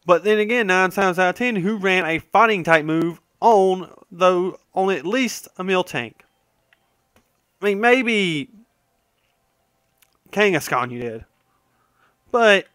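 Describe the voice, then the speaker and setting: A man's voice: sits high, a bit bright, no room sound, clean studio sound. A man giving casual, rambling gaming commentary, conversational and opinionated, often trailing off and correcting himself. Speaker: gaming video host.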